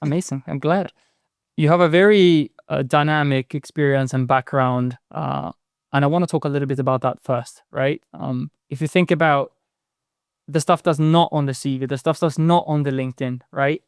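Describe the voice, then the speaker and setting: Guy hosting a podcast, kinda halting with lots of ums and "right?", dry close sound. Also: on the high side, steady tone, clear, resonant tone, no room sound. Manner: hesitant, lots of fillers and tag questions. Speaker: podcast host. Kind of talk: casual interview talk.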